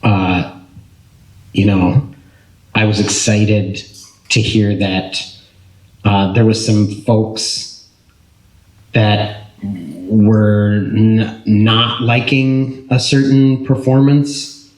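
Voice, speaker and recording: Male actor on a panel, unhurried, low voice, thoughtful; roomy echo. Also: little pitch movement, a little mellow. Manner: thoughtful, searching for words, laughs lightly. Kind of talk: reflective panel talk. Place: public panel event room.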